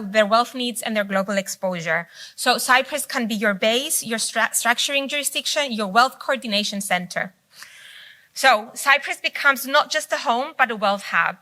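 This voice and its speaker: Woman with Greek as her first language, keynote speaker, bright, fairly high voice, unscripted and hesitant. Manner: speaks off the cuff with frequent fillers and restarts. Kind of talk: conference presentation. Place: conference hall.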